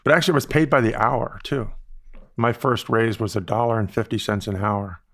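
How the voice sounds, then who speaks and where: Older guy from the bahamas, kinda low voice, easygoing storyteller, lots of "you know". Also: fairly even intonation, a bit bright. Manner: measured, reflective, with frequent "you know" asides. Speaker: guest, investment firm founder. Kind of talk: relaxed storytelling interview answers.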